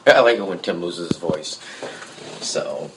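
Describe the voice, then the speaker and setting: A man, a guest musician, telling lively stories in a casual podcast conversation with jokey asides. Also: sits low, unhurried, vocal fry, noisy background. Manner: storytelling, animated, jokey asides.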